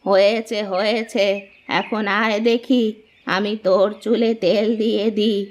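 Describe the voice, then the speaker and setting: A woman's voice: mid-range voice, little pitch movement, measured pace, close to an everyday voice. A woman playing a bossy, biased old mother-in-law in a cartoon, imperious and blunt in manner. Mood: doting. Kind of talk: cartoon family drama acting.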